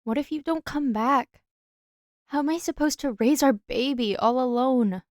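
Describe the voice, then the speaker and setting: A woman's voice: sits high, dry recording, clean studio sound, lightly acted. A woman playing a needy, emotional young human man in love, pleading in an amateur audio romance drama. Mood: desperate. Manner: slow, pleading, emotional delivery.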